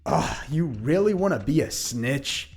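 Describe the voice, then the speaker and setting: A man playing a sardonic teenage high-school boy in an audio drama, sarcastic, slangy and foul-mouthed. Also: animated, unhurried, vocal fry, a little mellow. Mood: irritated.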